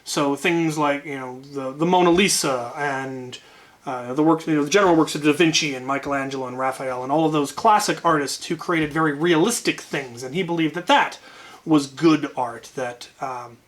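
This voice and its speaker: A man's voice: fairly high pitch, moderate pace, fairly bright tone. A man telling an anecdote casually as a channel host, wryly, often repeating words as he gathers his thoughts.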